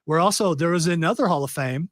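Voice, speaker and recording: A man's voice: fairly high pitch, fast, dry recording. A man hosting a live pop-culture talk show, chatty and joking, with loose rambling asides, talking into a studio mic. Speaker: podcast host. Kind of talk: casual live show chat.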